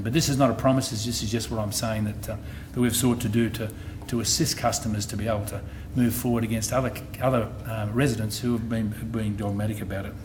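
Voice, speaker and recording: A middle-aged man with an Australian accent, an insurance executive giving a presentation at a public meeting, measured and often restarting his phrases. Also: fairly low pitch. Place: meeting hall. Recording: noisy meeting room recording.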